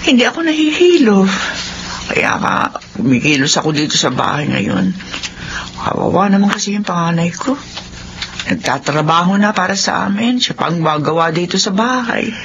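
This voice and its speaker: A woman's voice: lowish voice, sing-song, normal speed. A woman playing a middle-aged, ailing, long-suffering widowed mother in a Filipino radio drama. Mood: grateful. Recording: dull radio sound, faint noise.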